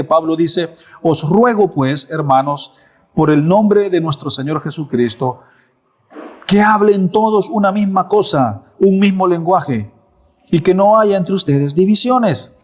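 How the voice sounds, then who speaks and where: Man, thirties, pastor preaching in a church, fairly high voice, rhetorical questions with firm answers. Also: a little mellow. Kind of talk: sermon.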